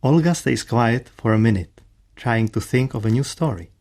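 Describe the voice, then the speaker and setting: A man's voice: medium pitch, moderate pace, vocal fry, a little mellow. A man reading scripted narration as the narrator of a radio play.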